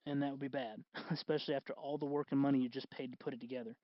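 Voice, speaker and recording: A man hosting a do-it-yourself tutorial in casual, unscripted talk, halting and correcting himself as he goes. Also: medium pitch, fairly even intonation, no echo, muffled recording. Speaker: host of a DIY costume electronics tutorial.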